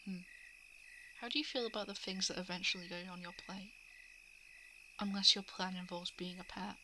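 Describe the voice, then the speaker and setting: A woman's voice: on the low side, lightly acted. A woman playing a guarded, coolly predatory shapeshifting monster who eats hearts, in an ASMR roleplay monologue. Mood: teasing, predatory. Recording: clear background noise of night insects, frogs. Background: crickets, frogs and night insect ambience.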